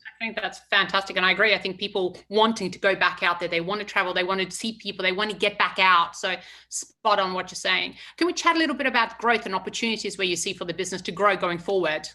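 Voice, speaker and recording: A woman's voice: medium pitch, steady tone, fast, bright, forceful tone. A woman interviewing company executives, upbeat and affirming as she asks her questions. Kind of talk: investor interview.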